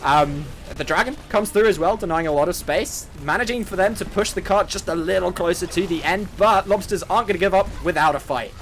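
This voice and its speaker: A man's voice: high-pitched, fairly quick, few pauses, a little hiss or hum. Irish male esports caster. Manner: upbeat, laughs often. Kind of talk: live play-by-play commentary.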